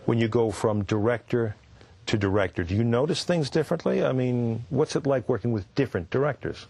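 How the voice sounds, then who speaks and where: A man's voice: mid-range voice, a little fast, gravelly, a little mellow, slightly rough, no echo. A man hosting a talk show, asking conversational questions and nudging his guest along. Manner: conversational, prompting with "mm-hmm". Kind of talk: interview questions.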